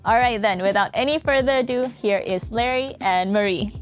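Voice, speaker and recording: A woman's voice: fairly high pitch, normal speed, music in the background. A young woman in her twenties, a law student, reading an upbeat prepared introduction with a few fillers. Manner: upbeat, reads a prepared script with light fillers. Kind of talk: scripted introduction.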